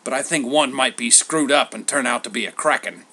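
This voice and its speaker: A man's voice: mid-range voice, fairly quick, bright, forceful tone. A man voicing a huge bearded god as a slangy, boastful, wheedling hustler in a comic story. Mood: bragging. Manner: slangy, schmoozing, boastful, theatrically wounded.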